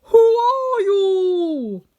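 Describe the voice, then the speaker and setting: A woman voicing a demanding, aggrieved man made of tin, loud and plaintive, in a storybook reading. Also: high voice, very animated, moderate pace, muffled recording, pitched above the performer's own voice. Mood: angry.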